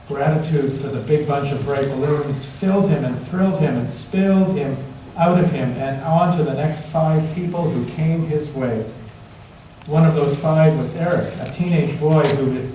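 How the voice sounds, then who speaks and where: A man reading a children's story aloud to all ages, expressive and dramatic, savoring the rhymes with emphatic pauses. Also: a little high. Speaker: storyteller reading a picture book at a church. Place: large indoor church space, heard from a distance. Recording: echoey church hall, distant mic, faint noise.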